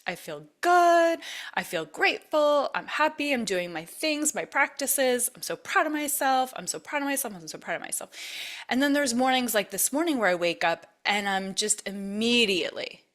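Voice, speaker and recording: A woman's voice: high-pitched, expressive, normal speed, fairly bright tone. Emphatic woman hosting a podcast. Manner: affirming, emphatic, repeats phrases for effect. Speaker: podcast host. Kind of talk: reflective interview hosting.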